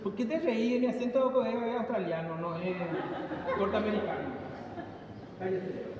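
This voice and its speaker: A high-voiced man lectures a class, walking students through the analysis step by step and quizzing them as he goes. He sounds far from the recorder, in a big echoing room with a long reverb tail and steady background noise. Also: unhurried, a crowd in the background. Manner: explains step by step, asks the class questions. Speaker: psychometrics teacher.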